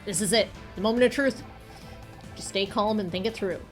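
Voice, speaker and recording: An earnest amateur detective from a visual novel game, voiced by a woman, probing and persistent. The questioning comes in a medium-pitched voice, with music clearly playing behind it. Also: moderate pace, close to an everyday voice. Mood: determined.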